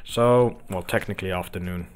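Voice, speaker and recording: A man hosting a casual livestream from his home office, croaky from just waking up, rambling with lots of ums as he talks to viewers and the chart. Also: deep voice, normal speed. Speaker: crypto livestream host. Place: home office with window open.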